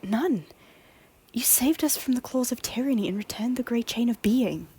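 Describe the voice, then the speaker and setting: A woman playing a soft-spoken stranger at a harbour who often half-whispers her lines in an amateur audio drama. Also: on the high side, animated, normal speed, husky. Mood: hushed.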